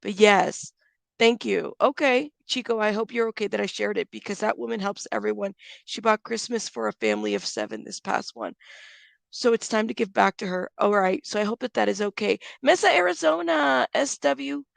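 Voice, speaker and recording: A woman hosting a casual livestream, chatting with viewers and giving friendly shout-outs while still congested. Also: medium pitch, expressive, moderate pace, vocal fry, no echo. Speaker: livestream host.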